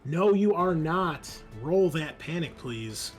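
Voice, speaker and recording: A man's voice: on the high side, animated, slow, faint music behind. A man working as game master, narrating a horror roleplaying game, moving between dramatic description and casual rules talk.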